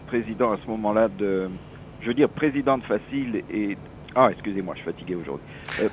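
A middle-aged or older man with an accent from France, giving halting, digressive explanations as a telephone interview guest. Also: medium pitch, a little mellow. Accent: French from France. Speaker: association president, interview guest. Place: office, on the phone. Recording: noisy telephone line.

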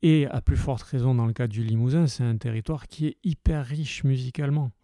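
A man's voice: medium pitch, brisk, dark tone, dry recording, clean studio sound. A man from the south of France talking heatedly and casually, with many hesitations and restarts. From Occitan-speaking region of France. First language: French. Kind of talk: passionate casual talk.

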